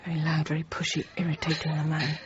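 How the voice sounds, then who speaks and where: An actress in her thirties playing a frightened but composed French teacher held hostage, narrating quietly, often near a whisper. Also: sits low, completely flat delivery, fast talker, lightly acted. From France. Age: 30s. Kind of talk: first-person dramatic narration. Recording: dull noisy radio drama recording.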